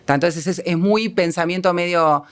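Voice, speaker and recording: Woman, Uruguayan accent, film producer, panel guest, spontaneous, halting, self-deprecating. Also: on the low side, smooth.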